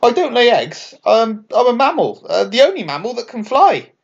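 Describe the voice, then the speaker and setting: A man's voice: very high-pitched, sing-song, moderate pace, gravelly, husky, highs cut off, pitched above the performer's own voice, exaggerated voice. A man voicing a nervous, earnest young bat in a children's story, with a hesitant and plaintive manner. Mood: upset, pleading.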